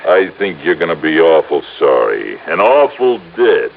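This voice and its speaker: An actor playing a big, cocky, vengeful gangster in an old-time radio crime drama, with gruff tough-guy slang. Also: extremely low pitch, sing-song, normal speed, phone-quality sound, full character voice. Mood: menacing, cocky. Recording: noisy, thin old radio recording.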